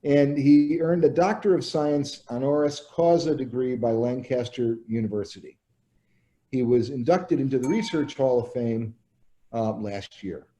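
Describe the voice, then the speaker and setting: A man hosts an interview, introducing his guest with light humor and then asking long, winding questions. His voice is mellow and warm, sitting in a mid range. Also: medium pitch, measured pace. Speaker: interview host. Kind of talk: hosted interview.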